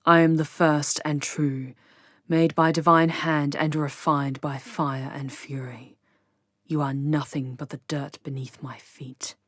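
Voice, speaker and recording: A woman playing Lilith, a low-voiced, proud demoness. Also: level tone, a bit bright, dry recording, studio quality, full character voice. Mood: menacing, haughty. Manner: commanding, haughty, deliberate, slipping into whispers. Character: Lilith, proud, sardonic, protective female demon guide. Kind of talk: ASMR audio roleplay drama.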